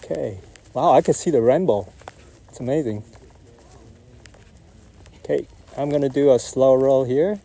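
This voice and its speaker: Calm man calling out flight maneuvers. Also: mid-range voice, unhurried, vocal fry, long pauses. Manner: calm, calls out maneuvers in short phrases. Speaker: RC jet pilot. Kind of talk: live flight commentary. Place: outdoors at an RC airfield.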